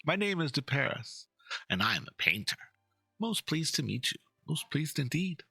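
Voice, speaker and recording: A man acts out a theatrical game character, a passionate and easily indignant painter. His voice is forceful and husky, with wide, swooping pitch. He speaks floridly and pompously over music. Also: big pitch swings, moderate pace, dry recording, exaggerated voice. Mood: courteous, cheerful. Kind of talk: dramatic reading of game dialogue.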